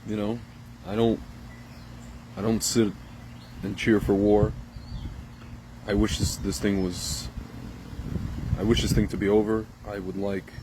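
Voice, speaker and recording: A man's voice: on the low side, a little mellow, a little hiss or hum, poor audio. A man born in Ukraine talking casually to his viewers, rambling with fillers and restarts. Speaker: vlogger. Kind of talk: casual opinion monologue to camera.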